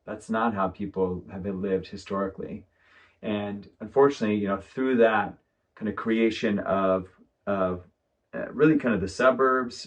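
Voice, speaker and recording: A man, an architect guest, talking thoughtfully in a rambling way with many fillers in a casual conversation. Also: on the low side. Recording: distant from the mic.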